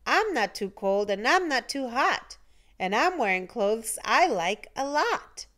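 A cheerful, shivery snowman from a picture book, acted out by a woman. The voice is high and slow, with big swings in pitch. Words are exclaimed and stretched out dramatically. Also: very animated, a bit bright. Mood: pleased.

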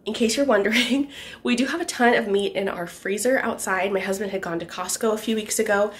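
A woman's voice: medium pitch, brisk, husky. A woman in her thirties, stuffy and congested, chatting casually to her phone camera from her car and kitchen with lots of ums and little laughs. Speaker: vlogger. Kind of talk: casual vlog chat. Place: parked car, then home kitchen. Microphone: phone camera at arm's length.

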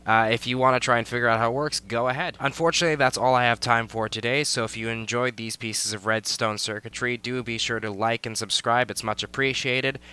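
Young male tutorial narrator, getting over a cold, bright and crisp, plainly instructional. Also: mid-range voice, steady tone, fairly quick, few pauses. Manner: step-by-step instructional, repeats "you're gonna wanna". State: recovering from a cold. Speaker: tutorial creator.

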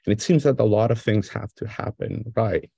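A man's voice: on the low side, normal speed, gravelly, breathy edge, dry recording. Middle-aged Greek-accented male lecturer, dry close sound, casual and conversational over a video call. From Greece. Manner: relaxed, conversational, with frequent fillers. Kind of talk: remote academic lecture. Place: home or office on a video call. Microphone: video call microphone.

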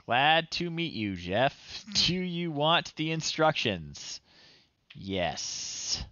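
Lively man streaming games, dry close sound. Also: a little high, very slow, no echo. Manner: amused, playful, muttered asides. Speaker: streamer, host of a retro game show. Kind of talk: casual live game commentary, reading screen text aloud. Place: small indoor room at home. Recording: slightly dull, dry close-up recording.

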